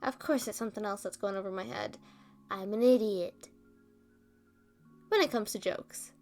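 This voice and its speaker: A woman playing a rambling, self-deprecating roommate in a casual ASMR roleplay, talking in a halting, defensive, conversational way. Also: mid-range voice, darkish tone, faint music behind, natural voice. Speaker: audio roleplay performer and script writer.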